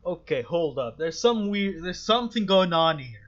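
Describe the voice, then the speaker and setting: Higher-voiced man, slightly dull mic. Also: very animated, normal speed, fairly bright tone. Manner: musing, hesitant thinking aloud. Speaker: gamer playing and reacting. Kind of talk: casual game commentary.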